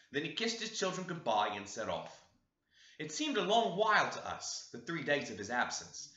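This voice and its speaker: A man narrates an old novel in a very bright, forceful voice. His delivery is expressive and moves around in pitch. The sound is roomy and echoey. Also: muffled recording. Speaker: audiobook reader. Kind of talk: scripted narration of a classic novel.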